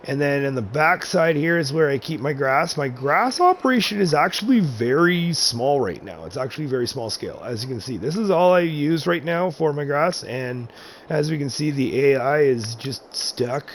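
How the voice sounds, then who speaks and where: A man with a slightly raspy, congested voice, casually narrating a tour of his farm in a video game, often correcting himself and chuckling. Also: a little high, animated, moderate pace, slight background noise. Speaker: gaming channel host.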